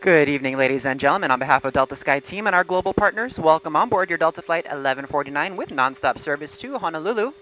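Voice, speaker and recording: A woman's voice: deep voice, expressive, fast talker, few pauses, fairly bright tone, poor audio. A woman working as a flight attendant, reading a scripted welcome announcement over an aircraft cabin PA. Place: inside airliner cabin.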